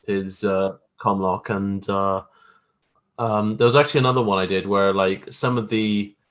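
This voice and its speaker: A man with a fairly low voice talks as a guest in a casual interview. He is a graphic artist with an informal, self-deprecating manner. His delivery is wry and conversational. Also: steady tone, moderate pace, a bit bright.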